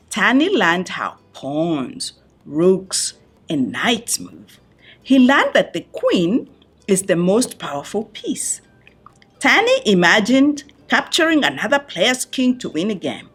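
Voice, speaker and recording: A woman reading a picture book aloud to young listeners in an expressive storytelling style, phrasing deliberately with dramatic pauses. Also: medium pitch, husky. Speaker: children's book reader.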